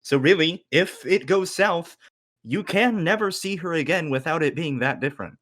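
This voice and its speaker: A man's voice: fairly high pitch, lively intonation, normal speed, a bit bright. A man playing a brash, blunt college friend with a casual, pushy delivery in a table read. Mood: blunt, persuading. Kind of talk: table read of a pilot script.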